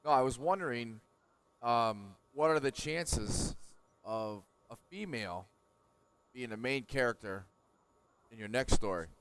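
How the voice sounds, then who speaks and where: A soft-spoken man on a live stream, asking questions hesitantly with ums. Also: medium pitch, a little slow, pauses often, clear, resonant tone. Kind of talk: casual live-stream chat.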